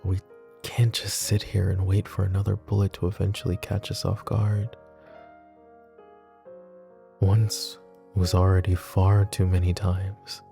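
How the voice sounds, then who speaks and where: A man playing a possessive, flirty mafia boss in an intimate ASMR roleplay, murmuring and teasing the listener with unhurried pauses. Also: low-pitched, completely flat delivery, gravelly, mellow, faint music behind. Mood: grave, protective.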